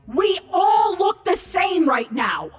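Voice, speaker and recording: A woman's voice: extremely high pitch, very animated, slow, soft background music, heavily acted. A woman voicing an indignant, sarcastic young female changeling in a dramatised story reading. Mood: exasperated. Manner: sarcastic, exasperated. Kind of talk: dramatised fan fiction reading.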